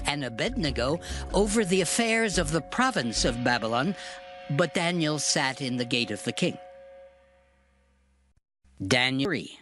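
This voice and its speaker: A man narrating a dramatized scripture audiobook in a scripted storytelling style, with music underneath. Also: on the high side.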